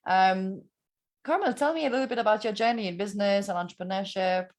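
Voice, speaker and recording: A woman's voice: mid-range voice, fairly quick. A woman hosting an interview, friendly and upbeat, often laughing. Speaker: interview host. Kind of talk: casual interview talk.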